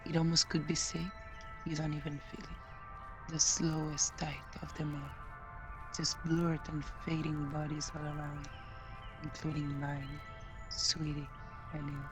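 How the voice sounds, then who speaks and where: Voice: medium pitch, monotone, measured pace, low-quality recording. A person reading spoken-word poetry as a recited piece. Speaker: poetry reader. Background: music.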